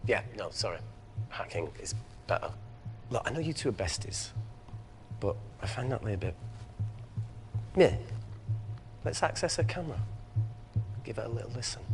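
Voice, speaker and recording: A man's voice: on the low side, very animated, normal speed, creaky, long pauses, soft background music. A man acting a wry, suspicious scientist in a live-action game film, speaking informally. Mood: dry, persuasive. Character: wry male scientist, suspicious and sarcastic under pressure.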